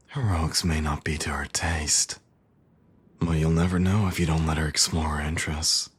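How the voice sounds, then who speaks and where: A man's voice: a bass voice, a bit bright, breathy edge, exaggerated voice. A man playing a smug, teasing supervillain and doting father in an intimate ASMR audio roleplay, coaxing and sardonic.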